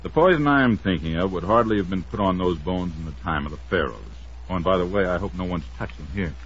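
A methodical doctor in a vintage radio mystery, sure of himself. The voice is low and rough-edged, on a dull old recording. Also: male voice, fast, a bit bright. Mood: grave, measured. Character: assured, methodical male doctor and forensic expert. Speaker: supporting actor. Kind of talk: old-time American radio drama acting.

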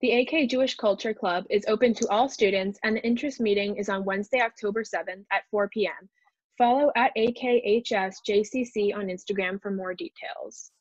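A woman's voice: mid-range voice, a little fast, no echo. Young woman upbeat club promo, dull dry sound. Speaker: student club member.

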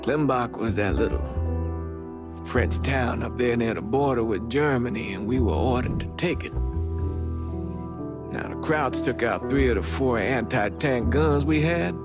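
Older American male priest actor, veteran, humble and grave, creaky voice, measured reminiscence, game cutscene, music loud behind. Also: mid-range voice, close to an everyday voice. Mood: proud, reflective. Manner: measured, reflective. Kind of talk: documentary-style reminiscence.

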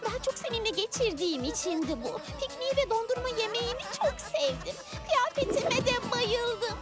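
A very high, lively little girl heroine from a children's cartoon. She is kind, cheerful and encouraging, with big swings in pitch. Loud music plays behind her. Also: a little fast. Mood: delighted, grateful. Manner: bright exclamations, encouraging. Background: loud children's music with jingles.

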